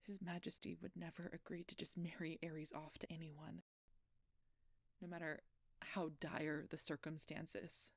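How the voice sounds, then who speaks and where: A woman playing a frightened, guilt-ridden changeling fugitive in an intimate fantasy audio roleplay, with a halting, emotional delivery. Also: low-pitched, little pitch movement, creaky, no echo, full character voice. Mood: urgent, dawning hope.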